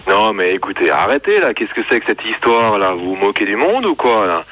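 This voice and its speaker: A man working at a bank, talking off the cuff to a caller in a halting, repetitive way. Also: fairly low pitch, sing-song, fairly bright tone. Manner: halting, repeats himself. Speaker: bank employee answering a phone. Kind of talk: unscripted customer-service phone talk. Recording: dull, noisy telephone line.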